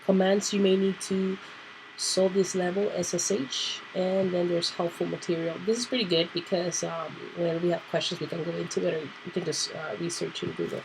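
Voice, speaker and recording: A woman hosting a casual computer tutorial, nasal and clearing her throat because she is sick, thinking aloud with fillers. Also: on the low side, level tone, brisk, background noise. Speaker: tutorial host. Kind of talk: casual screen-recorded tutorial.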